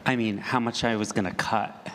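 A man speaking as a writer on a live panel, casual and halting, rephrasing emphatically as he goes. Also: on the low side, fairly even intonation. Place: live convention panel in a hall. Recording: echoey hall, clear ambient noise.

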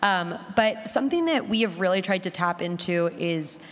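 A woman's voice: on the low side, animated, moderate pace. A woman speaking conversationally as a producer on a panel, enthusiastic and stressing her words by repeating them. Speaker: audio drama producer, panelist. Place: large room at a live conference panel. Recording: reverberant hall.

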